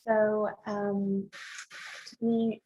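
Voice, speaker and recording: A young woman from Morocco speaks as a podcast guest, with a steady, fairly flat tone. She answers thoughtfully, pausing on ums as she works out what she means. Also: mid-range voice, low-quality recording. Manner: thoughtful, measured, with frequent ums. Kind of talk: casual interview talk.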